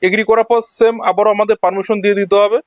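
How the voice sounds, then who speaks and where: A man's voice: high voice, level tone, very fast, dry recording, clean studio sound. A man narrating an instructional screen-recording tutorial, explaining each step in turn as a voice-over. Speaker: tech tutorial host.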